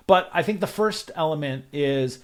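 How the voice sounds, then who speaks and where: Man giving measured, conversational advice. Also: fairly high pitch, animated. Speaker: podcast guest, growth advisor. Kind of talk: casual interview conversation, explaining advice.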